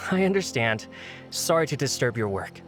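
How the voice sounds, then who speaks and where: A man's voice: mid-range voice, sing-song, moderate pace, natural voice. Guy voicing a worried loyal informant, mid voice, earnest game acting, faint music underneath. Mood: politely probing. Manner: urgent, earnest, muttering to himself. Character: anxious loyal male informant serving his master.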